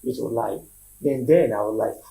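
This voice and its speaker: Gravelly-voiced Nigerian man telling his story. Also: medium pitch, normal speed, warm tone, rough recording. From Lagos, Nigeria. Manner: repeats himself, often checks listener understands. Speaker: musician, interview guest. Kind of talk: casual interview storytelling.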